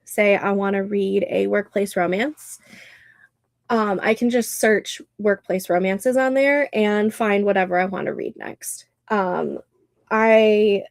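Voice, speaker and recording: A woman's voice: mid-range voice, slow pace, vocal fry, no room sound, clean studio sound. A woman in her thirties hosting a casual livestream, sounding nasal and congested, chatting and reading comments aloud with frequent laughs. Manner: chatty, laughs often, reads chat aloud. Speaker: livestream host.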